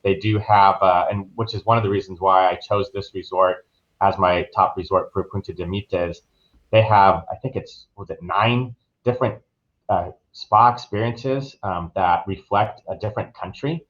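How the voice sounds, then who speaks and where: The sound is slightly dull and lo-fi. A man with a lowish voice talks informally as a travel professional being interviewed. He explains things in a casual, conversational way. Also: fairly even intonation, normal speed, fairly bright tone. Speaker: travel professional, podcast guest.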